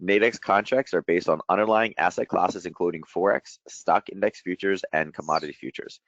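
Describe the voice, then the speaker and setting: A middle-aged man with a deep, slightly strained voice, hosting an informal, chatty and explanatory trading webinar. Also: a little fast, dry recording, highs cut off. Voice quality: voice deeper than usual from shouting. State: strained voice after a day of loud coaching. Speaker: trading educator hosting a market breakdown.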